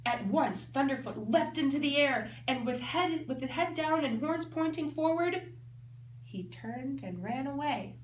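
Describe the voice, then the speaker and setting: A woman's voice: high voice, animated, measured pace. A woman teacher reading a storybook aloud expressively, explaining and thinking aloud for children. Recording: echoey room, faint background noise.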